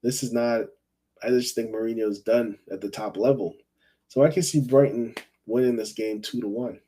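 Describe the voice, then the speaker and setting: A man from Jamaica hosts a casual football call-in show. His voice is mellow and dark, at a middle pitch. He rambles in a friendly way, repeats phrases and keeps adding "you know.". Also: fairly even intonation, normal speed, husky. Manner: chatty, rambling, repeats himself, says you know often. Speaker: fan call-in show host.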